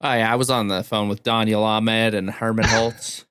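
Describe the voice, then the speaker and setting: A man's voice: on the low side, level tone, moderate pace, fairly bright tone, smooth, dry recording. A man co-hosting a podcast in casual, joking chat. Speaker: podcast co-host.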